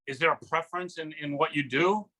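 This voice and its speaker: Guy co-host, bright voice, asks careful questions with some ums. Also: a little high. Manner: polite, measured questions with hesitations. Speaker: podcast co-host. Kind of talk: casual interview chat.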